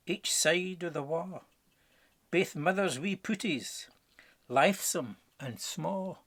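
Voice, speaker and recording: A man with a Scottish accent narrating a rhyming storybook in Scots with a theatrical, expressive delivery. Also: mid-range voice. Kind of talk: dramatic rhyming verse storytelling.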